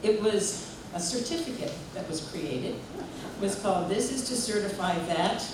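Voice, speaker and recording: A woman giving a warm, chatty tribute at a memorial gathering, telling stories and leading the room in song. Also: medium pitch, lively intonation, measured pace. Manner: warm, chatty, leads group singing. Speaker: memorial speaker and singer. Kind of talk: heartfelt spoken tribute. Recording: echoey hall from far off, noisy room.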